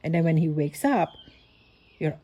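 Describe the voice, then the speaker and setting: A woman talks through her podcast like a long voice note, thinking aloud and restarting her sentences as she goes. Her voice sits a little low and sounds mellow and relaxed. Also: normal speed. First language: Dutch. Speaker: podcast host. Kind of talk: casual voice-note monologue.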